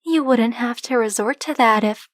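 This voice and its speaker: A woman's voice: on the high side, normal speed, no room sound, clean studio sound, lightly acted. A woman playing a flirty, possessive dragon-blooded librarian in an ASMR roleplay, speaking to the listener in a teasing, coy, insistent manner. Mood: playfully possessive, teasing. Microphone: close, dry microphone.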